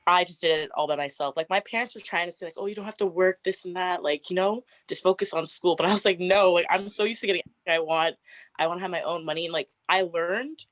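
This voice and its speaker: Young woman, 20s, deep raspy voice, chatty guest, dry close sound. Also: fast, no echo. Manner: chatty, frequent "like" fillers, laughs often. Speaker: interview guest. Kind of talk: casual get-to-know-me interview.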